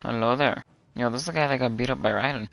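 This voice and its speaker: A man's voice: medium pitch, fast, creaky, fairly bright tone. A man streaming a video game, reacting casually in a chatty way.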